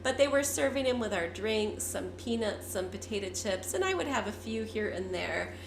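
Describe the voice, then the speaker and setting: A fifty-year-old woman, hoarse and congested from a cold, chatting candidly to her viewers. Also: medium pitch, animated, normal speed, reverberant, noisy background. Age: 50s. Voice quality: raspy. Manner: candid, chatty, with frequent fillers. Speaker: vlogger. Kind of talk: casual talk to camera.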